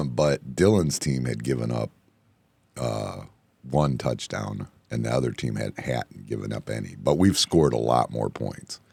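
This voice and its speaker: A hoarse man hosting a casual bar-talk show, rambling and excitable, often repeating himself. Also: extremely low pitch, unhurried, no echo. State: hoarse from yelling. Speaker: podcast host. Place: indoor room, bar setting.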